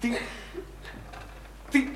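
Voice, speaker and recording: An actor playing a bitter, wounded would-be writer in a radio play, ranting scornfully with stammered repetitions. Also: male voice, drawn-out words. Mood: furious ranting. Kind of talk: theatrical radio play acting. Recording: roomy, faintly noisy 1991 radio play recording.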